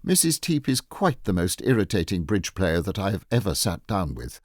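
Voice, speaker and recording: A man reading the part of an imperturbable, free-spirited Edwardian lady governess in a storybook, lofty, decisive and deadpan. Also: sits low, moderate pace, dry recording, clean studio sound. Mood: deadpan, scandalous.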